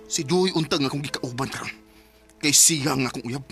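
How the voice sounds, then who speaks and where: A man's voice: medium pitch, normal speed, vocal fry, fairly bright tone, faint music behind. An actor with a Filipino Bisaya accent playing a cold, dismissive young man in a curt radio drama style. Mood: scornful. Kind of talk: emotional radio drama acting.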